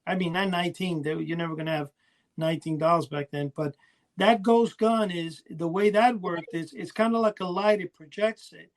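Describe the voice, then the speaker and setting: A man's voice: high-pitched, moderate pace. A man talking as a podcast co-host, reminiscing casually in a chatty, rambling way full of small details. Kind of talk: casual rambling reminiscence about old toys.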